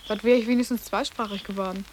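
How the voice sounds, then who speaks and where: A woman's voice: medium pitch, steady tone, fast talker, slight background noise, lightly acted. An actress playing a sarcastic, self-possessed teenage boarding-school girl in a radio drama, with a precocious, formal way of talking. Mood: dry sarcasm. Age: young.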